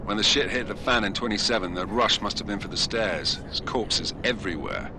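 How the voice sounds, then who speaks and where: An actor playing an irritable, foul-mouthed strike team leader in a video game, blunt and sardonic. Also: male voice, lowish voice, animated, a little fast, background noise. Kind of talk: video game cutscene acting.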